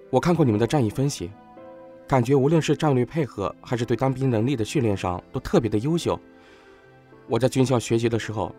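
A polite young academy graduate, earnest and admiring, in an audio drama , acted by a man. His tone is warm and relaxed. Quiet music sits behind him. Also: medium pitch, lightly acted. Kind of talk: modern audio drama acting.

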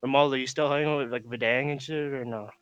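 A man's voice: mid-range voice, steady tone, fast talker, fairly bright tone, clear, resonant tone, no room sound, lo-fi sound. A man in his late teens from Canada, chatting as a podcast guest in rambling slang full of "like" and "bro". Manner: laid-back, rambling slang with many fillers. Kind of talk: casual chat.

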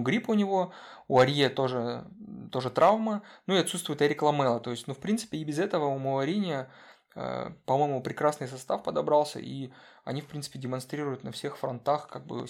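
A man's voice: medium pitch, creaky, darkish tone. A man co-hosting a casual football podcast, talking with many fillers and restarts. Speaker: podcast co-host.

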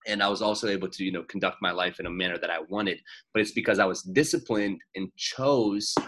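Fast-talking, sharp-voiced young man. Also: low voice. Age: 20s. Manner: blunt, swears often, rambling and emphatic. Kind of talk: casual podcast chat.

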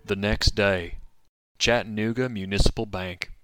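Low, folksy Western narrator played by a man. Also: unhurried, clean tone, faint music behind. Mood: scene setting. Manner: folksy, wry, deadpan. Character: wry folksy male Old West storyteller. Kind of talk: scripted comic Western narration.

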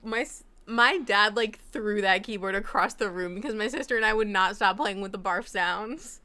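A woman's voice: medium pitch, moderate pace, bright, forceful tone. A young woman co-hosting a casual podcast chat, enthusiastic and full of dramatic exclamations. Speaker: podcast co-host.

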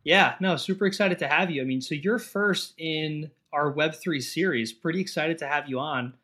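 A man's voice: a little high, moderate pace. A man hosting a crypto podcast, speaking with eager enthusiasm and frequent fillers. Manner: enthusiastic, repeats "really excited". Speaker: podcast host. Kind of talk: upbeat podcast intro and interview.

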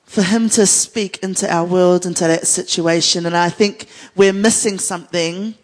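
A woman's voice: lowish voice, fairly even intonation, normal speed. A woman with a New Zealand accent speaking off the cuff as a worship leader, earnest and conversational with playful asides. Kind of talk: unscripted panel conversation about faith. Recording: echoey hall with long reverb.

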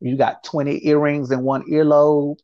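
A man's voice: fairly high pitch, little pitch movement, moderate pace, no room sound. Reflective man in a dry, dull recording. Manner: reflective, speaks in repeated phrases, often says "you know what I'm saying". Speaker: interview guest. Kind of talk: candid conversational interview.

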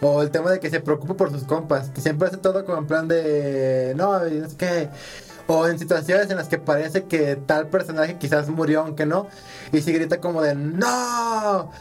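Male podcast host, Mexican, fairly high voice, slangy and teasing banter, music bed underneath. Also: normal speed. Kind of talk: casual banter about video games.

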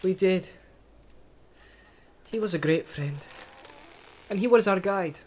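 A man voicing a gentle young male hobbit who speaks softly and sadly. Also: sits high, takes it slowly. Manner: soft, sad. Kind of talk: audiobook character reading.

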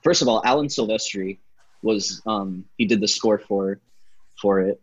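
Male podcast co-host, 30, husky and chatty, dull lo-fi recording. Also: lowish voice, normal speed. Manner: chatty, laughs often, breaks into song. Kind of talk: casual chat.

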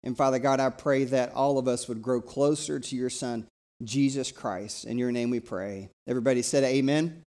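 A middle-aged man from East Tennessee, preaching a live church sermon in a conversational, energetic way that invites the congregation to talk back. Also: mid-range voice, moderate pace. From East Tennessee, USA. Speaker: pastor.

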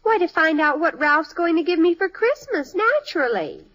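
An excitable teenage girl from a 1950s radio comedy. Her voice is very high. She sounds eager and dramatic, treating small matters as hugely important. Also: normal speed, exaggerated voice. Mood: eager, urgent. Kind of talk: 1950s radio sitcom acting.

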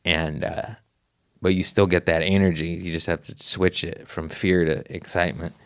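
A man's voice: low-pitched, level tone, normal speed, a bit bright, clean tone, dry recording, studio quality. A man who is a comedian, chatting casually on a podcast, swearing freely and slipping into silly voices. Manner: profane, goofy, slips into voices and impressions. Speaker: comedian guest.

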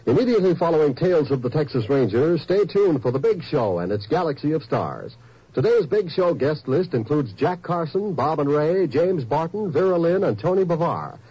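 A vintage network announcer in a polished promo read. His voice is mellow and slightly husky, with lively swings in pitch. The sound is dull, like an old radio transcription. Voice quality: polished, brisk.

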